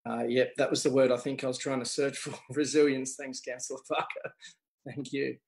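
Male councillor, Australian accent, level melody and average pitch, addressing a council meeting formally. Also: little pitch movement, a little fast, vocal fry. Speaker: local councillor.

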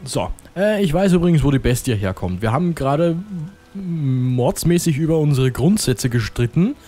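A man with a cold rambles through casual gameplay commentary, often muttering to himself. Loud game music plays behind his voice. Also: a little high, very animated, normal speed. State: has a cold. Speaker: let's play commentator.

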